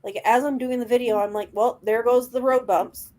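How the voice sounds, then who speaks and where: A woman's voice: a little high, steady tone, moderate pace, a little mellow. A woman chatting casually as a guest, agreeable and rambling with fillers. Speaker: guest vlogger. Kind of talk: casual chat.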